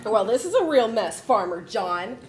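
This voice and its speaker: A woman playing a bossy, sharp-tongued, mocking mission control boss in a comic screenplay table read. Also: medium pitch, sing-song, moderate pace, natural voice. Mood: mocking disbelief. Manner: brash, scolding, theatrical. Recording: table read with background noise.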